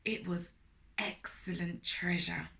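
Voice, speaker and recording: A woman reading a picture book aloud to young children, animated and speaking to them directly with questions. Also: fairly low pitch, slow pace, creaky. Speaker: children's storyteller, host of a storytime series.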